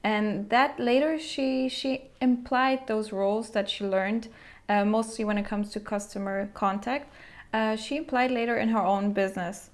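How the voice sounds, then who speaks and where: A woman's voice: a little high, steady tone, normal speed. A woman hosting an interview podcast, asking conversational questions in a wordy, searching way. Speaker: podcast host interviewing a guest.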